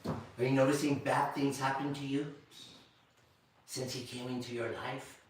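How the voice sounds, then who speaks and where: A man voicing a scheming male fortune-teller who hustles a customer in low, hushed, ominous tones. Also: little pitch movement, unhurried, slight background noise, lightly acted. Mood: sly, ominous. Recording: very echoey room, distant mic.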